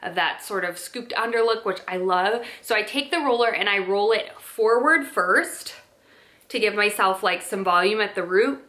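Young female lifestyle vlogger, mid-pitched, bright, rambling casual delivery, recorded at home. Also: normal speed. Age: young adult. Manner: chatty, many filler likes and ums. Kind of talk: casual favorites review to camera. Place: bedroom with dresser and plant, indoors.

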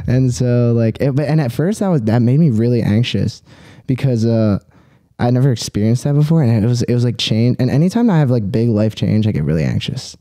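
A young adult man telling personal stories casually as a podcast guest, halting and rambling, with frequent swearing. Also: mid-range voice, warm tone, dry recording, clean studio sound.

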